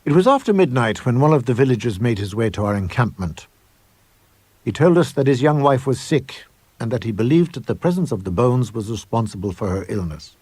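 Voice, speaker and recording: A man reading as a haunted gentleman explorer who recounts horror from his journal, intense, grave and deliberate. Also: medium pitch, very animated, husky, no room sound. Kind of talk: dramatic scripted horror monologue.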